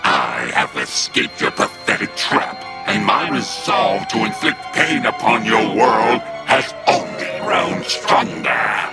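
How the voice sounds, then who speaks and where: A man's voice: medium pitch, wildly swooping pitch, slow, vocal fry, hardly any pauses, full character voice. A man playing a menacing, boastful possessing spirit in a video game, growling with grandiose villainy. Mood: gloating menace. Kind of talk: video game cutscene acting. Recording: loud music behind the voice.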